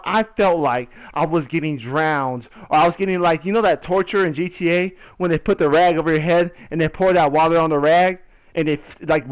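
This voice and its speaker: A man's voice: a little high, smooth. A young American man telling a casual story over gameplay in a slangy, conversational way, talking straight to his listeners. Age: young adult. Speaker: gaming video storyteller.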